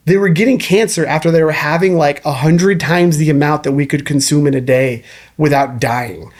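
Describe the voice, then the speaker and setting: A man in his early thirties talking as a nutritionist guest on a casual podcast, animated and easily exasperated, calling people dude. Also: fairly high pitch, normal speed. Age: 30s.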